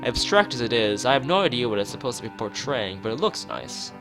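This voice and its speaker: A man's voice: mid-range voice, sing-song, brisk. A man streaming a live read-aloud of a visual novel, stumbling over words and rereading them, with casual asides to chat. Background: guitar music.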